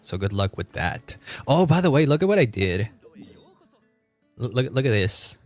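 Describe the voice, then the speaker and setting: A man's voice: medium pitch, sing-song, moderate pace, no echo, faint music behind. A man chatting casually on a gaming livestream, rambling and often repeating his phrases. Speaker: live streamer.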